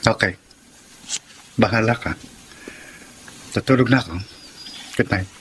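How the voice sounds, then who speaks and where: An actor playing a secretive, guilty lover with an earnest, dramatic delivery in a Filipino romantic radio drama. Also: male voice, fairly low pitch, a little slow, a little hiss or hum, natural voice, rough recording. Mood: concerned, low-key. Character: Denver, secretive, guilty, pleading unfaithful lover. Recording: studio drama under loud music. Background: loud background music.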